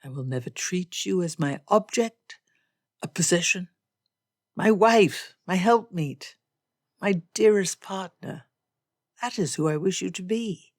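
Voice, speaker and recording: A woman voicing a proud, ardent gentleman suitor in a period romance audiobook, with formal, measured, courtly phrasing. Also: on the low side, expressive, no echo, clean studio sound. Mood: earnest, solemn.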